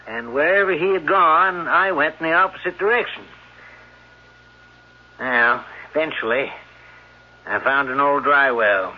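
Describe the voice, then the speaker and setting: An actor playing a shrewd townsman telling a grim tale in a deliberate, suspenseful old-time radio style. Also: a little high. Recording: dull old radio recording.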